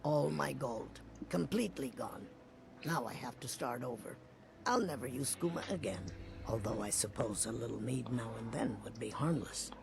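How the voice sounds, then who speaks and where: An actor playing a desperate, ashamed addict in a fantasy video game, pleading and anxious, with a gravelly voice. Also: male voice, mid-range voice, lively intonation, moderate pace. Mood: bitter regret. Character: desperate, ashamed addict, a down-on-his-luck fishery worker. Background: game music.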